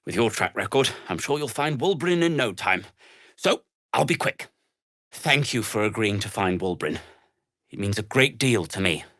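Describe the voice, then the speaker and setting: An actor playing an earnest, worried male deep gnome tinkerer in a video game, with a dry, wordy and formal manner. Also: lively intonation, normal speed, a bit bright, dry recording. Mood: solemn, resolute. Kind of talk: video game character dialogue.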